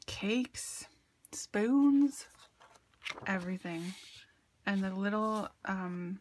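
A woman's voice: medium pitch, slight background noise. A woman with a sniffly, stuffed-up voice, chatting casually and gushing as she shows off craft supplies on camera, with a lot of ums. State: sniffly from allergies. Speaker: craft haul vlogger. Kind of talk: casual show-and-tell.

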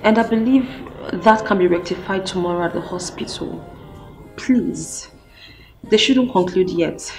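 A woman with a Nigerian accent playing a humble, earnest young woman in a film drama, pleading in a halting, deferential way. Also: mid-range voice, darkish tone, soft background music, lightly acted.

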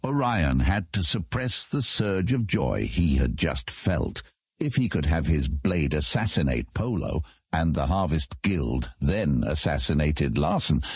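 A man with a husky voice reading scripted fantasy narration as an audiobook narrator. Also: rumbling low, sing-song, a little slow, no echo, clean studio sound.

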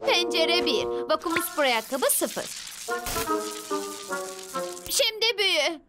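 A woman voicing a plucky, expressive young girl heroine in a cartoon dub. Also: extremely high pitch, slow pace, full character voice. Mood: determined. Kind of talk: Turkish cartoon dub acting. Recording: loud music behind.

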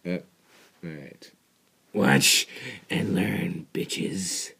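A man's voice: sits low, extremely slow, vocal fry, bright, forceful tone, breathy edge. A young man in a small bedroom, rambling and joking through a cartoon reaction video on an earbud mic, with mumbled asides and swearing. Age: young adult. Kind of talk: casual cartoon reaction commentary. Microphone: earbud headset mic.

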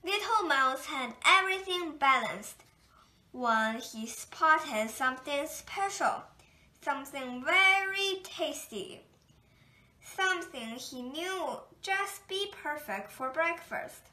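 A woman reads a children's picture book aloud at a slow, gentle storyteller's pace. Her voice sits fairly high and sounds clear and bright. The room around her is echoey. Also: lively intonation.

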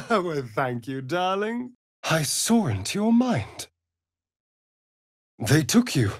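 An actor voicing a vain, sardonic vampire elf rogue in a video game, with an arch, drawling, theatrical delivery. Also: male voice, a little high, very animated, slow pace, no room sound, studio quality, exaggerated voice. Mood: teasing, sly. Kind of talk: video game character voice lines.

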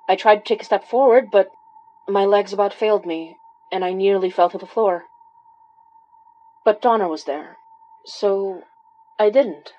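A woman's voice: medium pitch, dark tone, dull audio, natural voice. A woman playing a wry, caring paranormal investigator who narrates a horror audio drama in an intimate, conversational way. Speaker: lead character and narrator.

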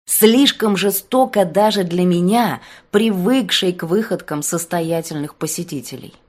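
A woman's voice: lowish voice, a little slow. A woman narrating a novel as an audiobook in a dramatic scripted reading style.

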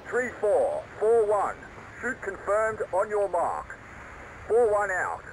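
A man's voice: sits high, huge pitch swings, slow, a little hiss or hum, heavily acted, poor audio. A man playing an easygoing Australian serviceman giving assured radio replies in a war game. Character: easygoing Australian military radio operator confirming support.

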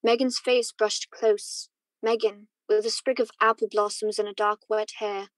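A woman plays a remorseful man looking back in a radio play. The delivery is reflective and halting, at a medium pitch, recorded close and dry. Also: flat delivery, no echo, close to an everyday voice, low-quality recording. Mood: grief-stricken. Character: grieving, remorseful man named Frank, narrating his memories. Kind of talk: 1940s radio drama acting.

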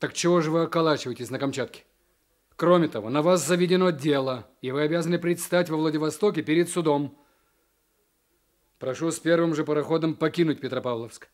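An actor playing a stern, decisive district official, authoritative and clipped, in a radio play. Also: male voice, on the high side, a little fast, close to an everyday voice. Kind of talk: Soviet radio play acting.

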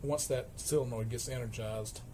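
Male repair vlogger, mid-to-low everyday pitch, explains haltingly and informally on a noisy workbench recording. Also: steady tone. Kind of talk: informal hands-on repair narration. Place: indoor electronics workbench. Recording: clearly noisy workshop camera recording.

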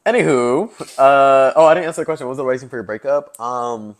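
A man's voice: mid-range voice, fast talker. A man in his early twenties hosting a podcast in casual chat, chatty with lots of fillers and laughs, recorded on a lapel mic in a living-room set. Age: 20s. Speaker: podcast host.

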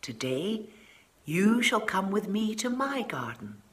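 A woman voicing a gentle, serene holy little boy in a storybook, speaking softly and deliberately. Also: medium pitch, big pitch swings, voice pushed up.

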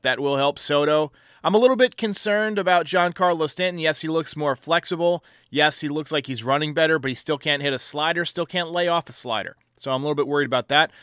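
Bright, clear male sports show host. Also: a little high, no room sound, studio quality. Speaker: host of a baseball voicemail show. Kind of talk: sports commentary answering callers.

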